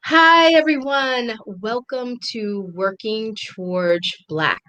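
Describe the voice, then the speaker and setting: A woman's voice: a little high, takes it slowly, fairly bright tone. A young woman hosting a live interview over a video call, earnest and reflective. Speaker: host. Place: indoor room at home.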